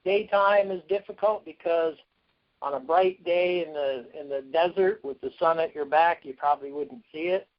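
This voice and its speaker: Higher-pitched man on a dull phone line. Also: moderate pace. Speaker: company executive on a call. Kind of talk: conference call product pitch.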